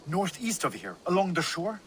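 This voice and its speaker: A man's voice: high-pitched, sing-song, moderate pace, a bit bright, slight background noise. A man playing a helpful Viking villager in a video game, reflective and a little hesitant. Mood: pensive. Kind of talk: video game dialogue acting.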